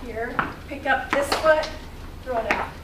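A woman's voice: high voice, a little slow, very noisy. A woman trainer giving encouraging coaching instructions in a large indoor gym, picked up by a distant camera. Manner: encouraging, lively. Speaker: fitness trainer. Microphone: far camera. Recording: echoey gym from far off.

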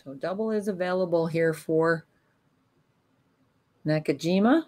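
A woman giving calm, analytical live commentary on a curling match. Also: lowish voice. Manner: calm, analytical play-by-play with pauses.

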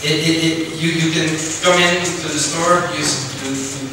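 A man's voice: a little high, fairly even intonation, normal speed. A middle-aged man from Belgium, not a native English speaker, giving a chatty technical conference talk to an audience and asking them questions. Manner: chatty, frequent ums, asks audience questions. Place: large lecture hall with audience. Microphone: distant room recording of a speaker on stage. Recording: echoey hall recorded from far off, noisy.

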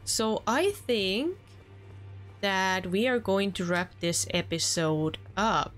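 A woman's voice: mid-range voice, lively intonation, takes it slowly, fairly bright tone, faint music behind. A young woman with a Finnish accent, chatting earnestly as she wraps up a let's play session from her home room. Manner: chatty and earnest, with small restarts. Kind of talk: casual gameplay commentary.

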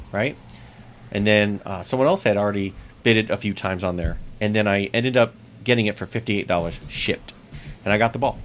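A man in his thirties with a US East Coast accent, hosting a bowling tips vlog straight to camera and talking upbeat and chatty, repeating his key points. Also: lowish voice. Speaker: bowling channel host. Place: small indoor room with shelves. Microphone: seated close to camera.

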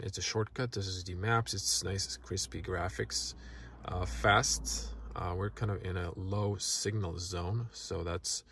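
A man whose first language is Croatian, casually walking through a car's features in a relaxed, halting way from inside a parked car. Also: sits low, breathy edge. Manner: relaxed, halting, many fillers. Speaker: car reviewer. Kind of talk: casual product walkthrough.

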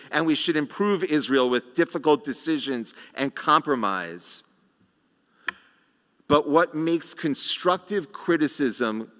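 Middle-aged male rabbi, sermon at a lectern, unhurried with deliberate pauses, long sanctuary reverb. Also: medium pitch, fairly bright tone. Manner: slow, measured delivery with rhetorical pauses. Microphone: lectern microphone.

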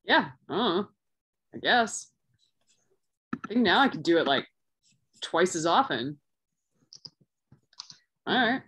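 A woman on a video call taking part in a tabletop game. She talks freely, rambles and pokes fun at herself, and her pitch moves up and down a lot. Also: very animated, takes it slowly, long pauses, a bit bright, slightly rough. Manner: chatty, rambling, self-deprecating. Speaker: player.

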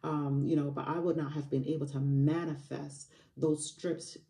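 A woman in her forties talks straight to camera in a motivational run, hammering her points with repeated phrases. Her voice is dark and mellow. It carries a roomy echo, as if the camera sits a little way off. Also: low voice, level tone, normal speed, recorded from a distance. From Barbados. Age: 40s. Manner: emphatic, repetitive motivational phrasing with fillers. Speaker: money coach. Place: home room by a window.

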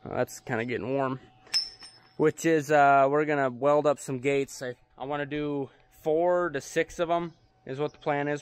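Young farm guy vlogging outside, smooth clear voice, laid back, just chatting to the camera. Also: mid-range voice, no room sound. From United States. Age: young adult. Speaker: farmer and vlog host. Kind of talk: casual vlog talk to camera. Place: outdoors on a farmyard and cattle pens.

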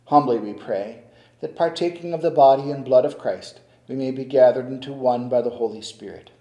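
An older man, a priest, reciting the prayers of the Mass at the altar in a slow, solemn, measured way. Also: mid-range voice, warm tone. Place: stone-walled church sanctuary at the altar. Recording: echoey church.

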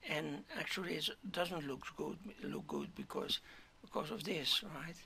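A hoarse man talking through a 3D modeling tutorial step by step, halting, often clearing his throat and dropping to a whisper. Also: on the high side, wildly swooping pitch, pushed and bright, slight background noise. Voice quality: gravelly, keeps clearing throat. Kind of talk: screen-recorded software tutorial.

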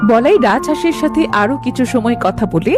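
Expressive woman narrator, highish voice. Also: fairly quick, over music. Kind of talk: scripted story narration.

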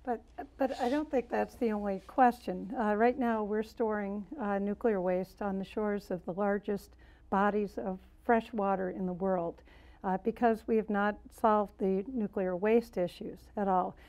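A woman's voice: medium pitch, fairly even intonation, a little slow, a little mellow. A woman in her sixties speaking unscripted as an expert on a studio panel, in slightly muffled sound. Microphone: lapel mic.

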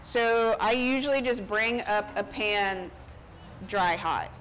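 A woman's voice: mid-range voice, takes it slowly, fairly bright tone, poor audio. A woman in her thirties chatting casually as a chef, explaining things informally while busy cooking in front of people. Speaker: chef giving a cooking demonstration. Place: outdoor covered market stage. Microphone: lapel mic. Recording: outdoor ambient noise behind voice. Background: outdoor park ambience.

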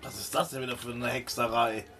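A man's voice: medium pitch, fairly even intonation, brisk, far from the mic, background noise. A man playing a tabletop role-playing game, chatting casually in and out of character. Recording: off-mic, loud music behind. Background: loud music.

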